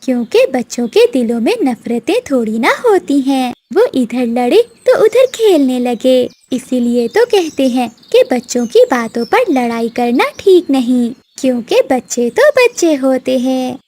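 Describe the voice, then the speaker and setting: High, animated woman storyteller. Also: measured pace, few pauses. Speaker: storyteller, narrator. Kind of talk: scripted children's cartoon story narration.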